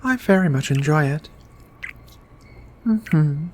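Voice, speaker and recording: A woman playing a shy, flirty, affectionate alien in a soft-spoken, hesitant ASMR roleplay. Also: deep voice, animated, slight background noise. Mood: tender, pleased. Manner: hesitant, bashful, murmuring with many pauses. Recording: quiet music behind the voice.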